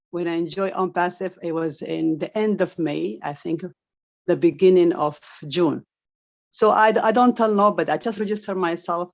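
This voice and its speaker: Woman, native Arabic speaker, lower register, halting repetitive English, giving a personal testimonial over a dull online call. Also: vocal fry, a little mellow. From Somali family, grew up in Saudi Arabia. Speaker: guest member giving a testimonial. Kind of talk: unscripted testimonial. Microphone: video call.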